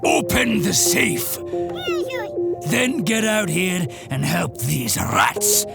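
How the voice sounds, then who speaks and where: An actor playing a greedy, boastful butcher in an audio drama, with a gravelly, cackling, shouty delivery. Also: male voice, sits high, sing-song, very slow, loud music behind the voice, full character voice. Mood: bitter. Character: greedy, boastful man running a butcher shop.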